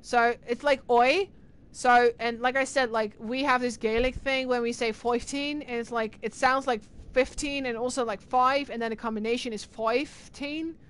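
A woman's voice: fairly high pitch, fairly even intonation, moderate pace, clean tone. Rambling Irish woman streamer. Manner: rambling, answers chat messages aloud. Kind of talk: casual livestream chat.

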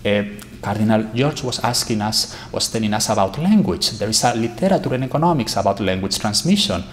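A man's voice: fairly low pitch, fairly quick, slight background noise. A man, giving an academic conference talk at a podium microphone in a lecture hall, gesturing as he speaks. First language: Spanish. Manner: animated, gesturing, emphatic.

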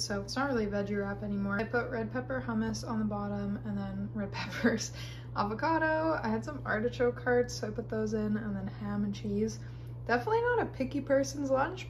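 A woman, chatting informally to camera like a student vlogger. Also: a little high. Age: 20s. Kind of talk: casual chat to camera, daily vlog. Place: parked car and home kitchen. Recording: background noise in car and kitchen.

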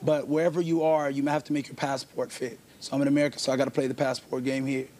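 A young man from Haiti, a guest rapper talking fast and earnestly in a TV studio interview. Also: medium pitch, little pitch movement, darkish tone. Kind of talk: TV talk show interview. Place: TV studio with live audience. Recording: studio crowd and noise behind.

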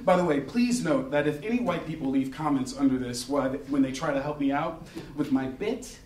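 A man's voice: on the high side, fairly quick, far from the mic. A man giving a theatrical, emphatic comedic reading to a live audience. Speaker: guest reader at a live event. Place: crowded dim indoor bar venue. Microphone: stand microphone at a lectern. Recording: echoey, off-mic, loud laughing crowd. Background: loud audience laughter.

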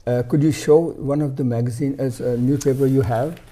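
A man's voice: medium pitch, steady tone, a little fast, warm tone, slight background noise. An older man hosting a TV studio interview, asking simple questions in slightly non-native English with frequent murmured acknowledgements. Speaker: TV interviewer, host.

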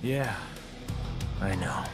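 A man's voice: low voice, animated, extremely slow, exaggerated voice. An actor playing a cocky, wisecracking middle-aged male demon hunter with teasing swagger in a video game cutscene. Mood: breezy. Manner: teasing, laid-back swagger. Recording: loud music behind the voice.

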